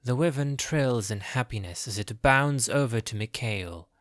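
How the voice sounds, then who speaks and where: A man's voice: mid-range voice, normal speed, fairly bright tone, dry recording. Close, dry male fantasy narrator.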